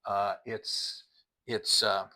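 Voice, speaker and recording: A man's voice: on the low side, flat delivery, extremely slow, bright, forceful tone. A middle-aged or older man giving a casual product review, explaining things in a plainspoken, methodical way.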